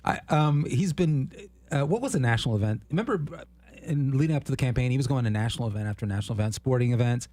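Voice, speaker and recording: A man co-hosting a political talk show, speaking in an animated, indignant way and laughing often. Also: mid-range voice, fast, dry recording. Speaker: co-host.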